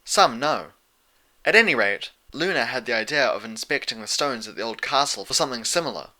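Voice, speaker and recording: A man voicing a calm, regal pony princess in a storybook reading, a wise and motherly teacher with a measured, lecturing manner. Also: mid-range voice. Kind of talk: fan fiction audiobook character voice.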